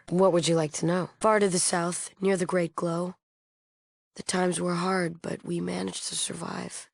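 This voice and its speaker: A principled woman leading a peace movement in a post-apocalyptic game. Her voice is lowish with a gravelly creak, recorded very dry. She sounds earnest, formal and firm. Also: dry recording. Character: earnest, resolute female leader of wasteland peace movement. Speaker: game character. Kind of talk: scripted video game dialogue.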